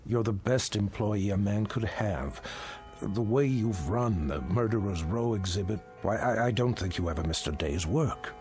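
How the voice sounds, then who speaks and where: An actor playing a kindly, weary older museum owner, halting and regretful, in an audio drama. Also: male voice, fairly low pitch, a little mellow, soft background music, natural voice. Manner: halting, apologetic.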